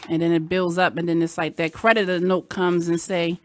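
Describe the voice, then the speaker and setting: A woman's voice: fairly low pitch, flat delivery, a little fast, a little hiss or hum. A woman radio host with a Baltimore accent, chatting candidly with sassy asides. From Baltimore, Maryland. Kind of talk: casual chat. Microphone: headset.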